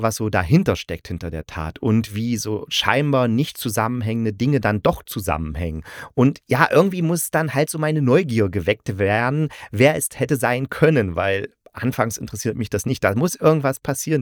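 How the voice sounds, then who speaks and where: A man's voice: medium pitch, dry recording. Man hosting an informal, dry-sounding podcast review. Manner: informal, opinionated, addresses listeners directly. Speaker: podcast host reviewing audio dramas. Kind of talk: conversational solo review.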